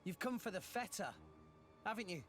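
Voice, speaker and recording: A man's voice: high-pitched, expressive, moderate pace, fairly bright tone, faint music behind. An actor playing an earnest, plucky teenage boy hero in a video game, with a determined delivery. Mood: alarmed, questioning. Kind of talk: dubbed video game cutscene acting.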